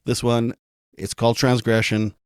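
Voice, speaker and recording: A man's voice: mid-range voice, little pitch movement, moderate pace, smooth, dry recording, clean studio sound. A man from Canada, a podcast guest, talking in a rambling, self-deprecating way with frequent restarts. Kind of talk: casual interview chat.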